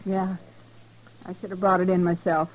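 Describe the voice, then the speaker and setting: Guarded, terse actress as frontier widow. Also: female voice, lowish voice, moderate pace, a little hiss or hum, lightly acted, rough recording. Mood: protective. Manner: terse, flat, rustic grammar. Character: guarded, bitter frontier widow and protective mother. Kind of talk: 1950s radio western acting.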